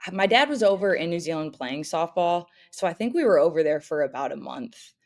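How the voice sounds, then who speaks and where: A young American woman raised in the Midwest, a softball coach talking casually and cheerfully as a podcast guest, with frequent "um" fillers. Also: lowish voice, animated, a little fast. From United States (grew up in St. Louis, Missouri). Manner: friendly, upbeat, frequent "um" fillers. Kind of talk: casual interview chat.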